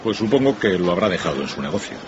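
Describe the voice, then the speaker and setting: A man's voice: sits low, big pitch swings, quick pace. An actor playing a wry, offhand businessman gossiping without sympathy in a radio drama. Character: callous, jokey businessman gossiping. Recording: dull radio recording with noisy street ambience.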